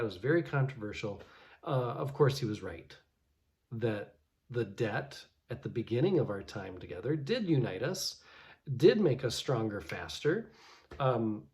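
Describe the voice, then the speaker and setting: A man's voice: medium pitch, a little mellow. A man giving a casual devotional reflection as a pastor, talking loosely with many restarts. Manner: conversational, many restarts and fillers.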